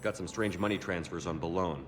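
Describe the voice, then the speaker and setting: Man acting a low-voiced, steady military commander. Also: a little fast, over music, natural voice. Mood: businesslike. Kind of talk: video game voice acting.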